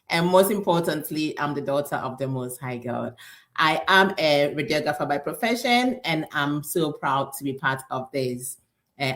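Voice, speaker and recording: A woman's voice: sits low, fairly bright tone. A woman raised in Ghana and Italy introducing herself on a live online panel, slightly flustered. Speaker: panel guest.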